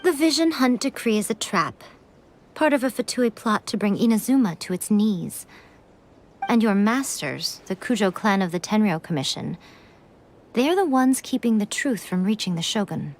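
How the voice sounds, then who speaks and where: A woman playing a sly, teasing, poised shrine priestess in an anime video game, speaking in a coy, deliberate way. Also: medium pitch, lively intonation, slight background noise, close to an everyday voice. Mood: grave, knowing.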